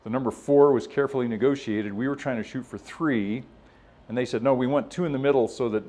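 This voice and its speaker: A man's voice: medium pitch, quick pace, a little mellow. Man giving an informal technical talk. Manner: conversational, explanatory, acts out others' positions in imagined quotes. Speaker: presenter at a scientific workshop.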